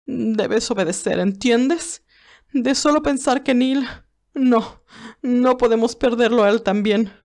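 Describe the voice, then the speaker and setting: A woman's voice: on the high side, takes it slowly, a little mellow, voice pushed up. A woman voicing a stern, imperious old great-aunt who gives clipped orders in a dramatized audiobook. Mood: tearful, insistent. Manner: authoritarian, clipped commands.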